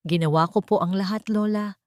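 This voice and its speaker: A woman's voice: medium pitch, steady tone, brisk, dry recording, clean studio sound, pitched above the performer's own voice, lightly acted. A woman voicing a weary, hurt young nurse who speaks respectfully and pleadingly in an audio drama.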